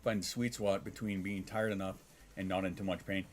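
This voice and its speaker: A man's voice: deep voice, fairly even intonation, brisk, soft background music. A man in his thirties, congested and sniffling, chatting casually on a live stream, rambling, swearing and reacting to chat. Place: home.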